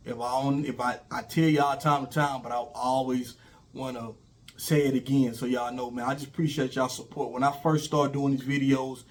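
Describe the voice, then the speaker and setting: Man, middle-aged, a bit raspy from a cold, casual advice talk, loose and rambling. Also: mid-range voice, darkish tone. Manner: rambling, informal, many fillers and restarts. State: sick, voice a little raspy. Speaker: YouTube host.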